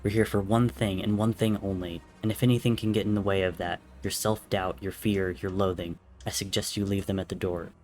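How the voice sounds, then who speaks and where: A man voicing a solemn, commanding warrior god with a gruff, deadpan manner in a dramatic story reading. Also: deep voice, a little fast, hardly any pauses, faint music behind, natural voice. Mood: stern, demanding.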